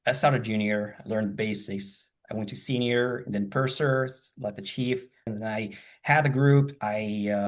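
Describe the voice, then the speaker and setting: A middle-aged man on a video call, talking about himself casually and enthusiastically, rambling and repeating himself as he goes. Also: lowish voice. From born in Japan, grew up in several countries across Asia and the Middle East. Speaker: student and hospitality professional. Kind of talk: casual video-call interview, telling life story.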